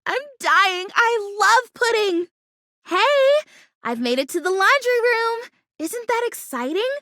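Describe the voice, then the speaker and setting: A woman's voice: very high-pitched, animated, slow, bright, forceful tone, dry recording, studio quality, exaggerated voice. A woman voicing a perky, chatty young plastic houseplant in a video game, gushing and rambling and easily wounded. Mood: bubbly, excited. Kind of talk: animated video game character acting.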